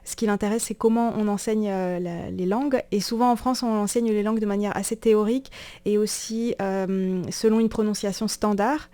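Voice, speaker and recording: A woman presenting in a conversational radio discussion, explaining things with frequent hesitant pauses. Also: medium pitch, steady tone, mellow.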